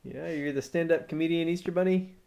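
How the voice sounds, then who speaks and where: A man's voice: on the high side, brisk, gravelly, dark tone. A man, a father, chatting casually with children in a playful, encouraging way and prompting them with questions. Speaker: father watching his child's puppet show. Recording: amateur home video sound.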